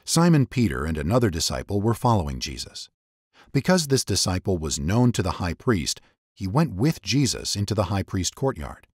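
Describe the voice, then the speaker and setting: A man reads scripture as a dramatic narrator. His low voice swings widely in pitch as he sets each phrase apart in measured storytelling. The recording is close and dry. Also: very animated, darkish tone, slightly rough, no echo. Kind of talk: dramatic scripted Bible reading.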